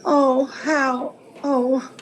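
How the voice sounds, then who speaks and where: Noir client, played by a woman: high voice, very slow halting delivery, wistful, lovelorn radio melodrama. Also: little pitch movement, noisy background. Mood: anxious. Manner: halting, breaking phrases, earnest. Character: wistful, sentimental married woman, pleading and lovelorn. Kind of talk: old-time radio melodrama acting.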